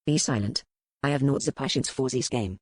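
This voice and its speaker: A man playing a smooth, probing French merchant with a French accent in a historical romance audiobook. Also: medium pitch, fairly quick, dry recording, lightly acted. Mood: coolly commanding. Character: suave, probing French merchant in colonial port. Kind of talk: dramatised audiobook dialogue.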